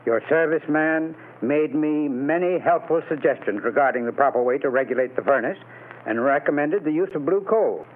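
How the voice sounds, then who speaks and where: A man delivering a 1930s radio sponsor pitch as an announcer, in a formal, emphatic, declamatory way. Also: normal speed. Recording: tinny, narrow vintage radio recording.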